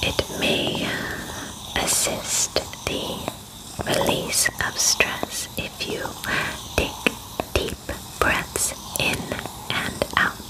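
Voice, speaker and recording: Woman as very slow whispering alien examiner. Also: rough recording. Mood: soothing, clinical. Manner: formal, soothing, deliberate, softly reassuring. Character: calm, clinical alien examiner attending to a human. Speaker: ASMR performer. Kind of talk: whispered ASMR roleplay. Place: small bedroom, indoors. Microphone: close microphone.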